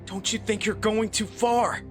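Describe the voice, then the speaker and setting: A man playing a solemn, protective young demigod hero in an anime visual novel, in an earnest, deliberate way. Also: high voice, steady tone, a bit bright. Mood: stern. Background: background music.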